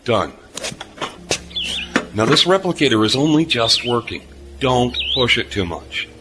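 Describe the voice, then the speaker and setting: An actor playing a gruff, weary former starship captain turned repairman in a sci-fi audio drama, dry, curt and sardonic. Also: medium pitch, very animated, unhurried, background noise. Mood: gruff, dismissive. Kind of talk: science fiction audio drama acting.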